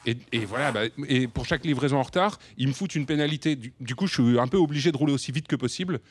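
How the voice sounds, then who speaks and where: An actor playing a sheepish, self-justifying delivery rider in a radio drama, with a hesitant, stammering way of talking. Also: male voice, mid-range voice, very fast, slightly rough, close to an everyday voice. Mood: aggrieved. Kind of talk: radio drama, naturalistic confession.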